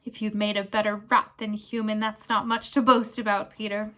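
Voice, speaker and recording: A woman's voice: medium pitch, flat delivery, moderate pace, close to an everyday voice. A woman voicing a gaunt, bitter man who escaped prison, speaking with fierce, harsh intensity in a storybook reading. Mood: cold contempt. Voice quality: croaking, harsh. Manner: intense, forceful.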